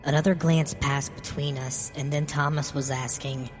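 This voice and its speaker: Low-pitched woman narrating in long reverb. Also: fairly even intonation, normal speed. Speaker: horror story narrator. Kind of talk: scripted first-person horror narration. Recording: long reverb with music behind. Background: music.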